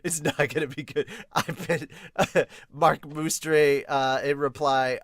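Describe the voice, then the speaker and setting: A man's voice: fairly high pitch, steady tone, takes it slowly, a bit bright, no room sound. A man hosting a manga review podcast, talking casually with gushing fan enthusiasm and some mild swearing.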